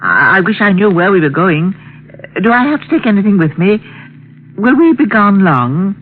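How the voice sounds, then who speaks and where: Actress as fluttery older aunt on tinny vintage radio. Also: female voice, mid-range voice, sing-song, moderate pace, slight background noise, close to an everyday voice. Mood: flustered stalling. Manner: fussy, hesitant, rambling. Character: fluttery, anxious older aunt, quick-witted under danger. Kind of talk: 1940s radio drama acting and first-person narration. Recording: tinny old radio recording, music behind.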